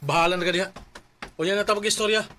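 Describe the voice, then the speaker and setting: Man acting self-centred Cebuano boyfriend, highish voice. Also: fairly even intonation, rapid-fire, very noisy, lightly acted. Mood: irritated. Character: self-centred, stubborn young boyfriend, easily irritated. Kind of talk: Filipino radio drama acting.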